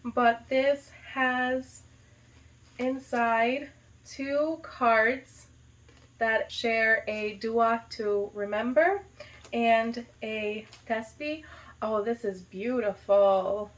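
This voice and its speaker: A woman's voice: a little high, steady tone, extremely slow, poor audio. Gushing young woman unboxing gifts. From United States. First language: not Arabic. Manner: gushing, enthusiastic, lots of "like" and "so cute". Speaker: vlogger, high school teacher. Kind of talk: casual unboxing vlog.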